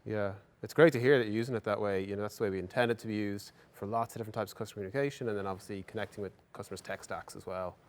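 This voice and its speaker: A man hosting a casual business interview, speaking for his software company in an affirming, encouraging way. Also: fairly low pitch, fairly even intonation, very fast, hardly any pauses, a little hiss or hum.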